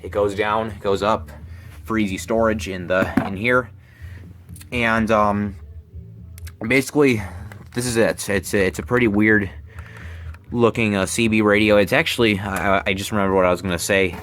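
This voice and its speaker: A young man giving a casual show-and-tell, talking haltingly and often repeating his words. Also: fairly low pitch, fairly even intonation, fairly bright tone, a little hiss or hum. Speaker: hobbyist presenter. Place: bedroom, indoors. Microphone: handheld camera, close behind it.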